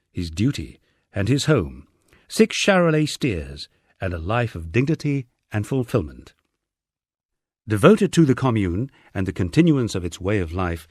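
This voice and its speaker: A middle-aged British man reading a scripted first-person memoir as an audiobook, in a measured storytelling way with dry understatement. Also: medium pitch, no room sound. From United Kingdom. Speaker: audiobook narrator.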